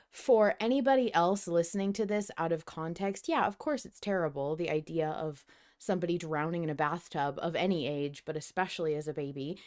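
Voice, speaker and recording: A woman's voice: sits low, a little mellow. A woman hosting a podcast, talking in a casual, conversational and emphatic way. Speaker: podcast host.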